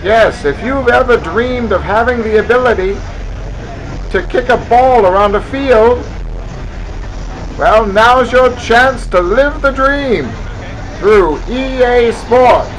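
A man's voice: very high-pitched, takes it slowly, some chatter behind, a little hiss or hum, rough recording. A man narrating a parody old-time newsreel in a mock-grandiose announcer delivery.

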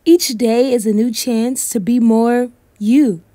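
A young woman teacher reading a picture book aloud to children in an animated, deliberate, encouraging way. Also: a little high. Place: small indoor room against plain backdrop.

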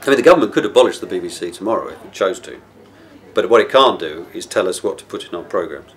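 A middle-aged man with a low voice gives a documentary interview. He explains as he thinks, rephrasing and circling back in a reflective manner. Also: lively intonation, quick pace. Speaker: broadcaster.

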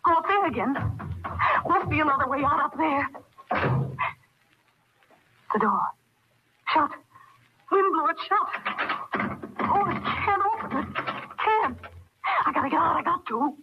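A woman's voice: high-pitched, lively intonation, measured pace, long pauses. An actress playing a panicked, confused woman murderer, muttering in breathless fragments in the style of old radio horror. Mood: panicked, dazed. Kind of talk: 1940s radio horror acting. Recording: muffled, very noisy old radio recording.

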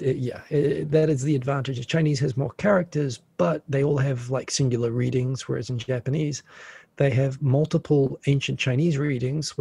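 A man appearing as a podcast guest over a video call, calmly explaining things in a casual, knowledgeable way. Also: medium pitch, creaky, slightly rough, no echo. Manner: explanatory, knowledgeable, quick asides.

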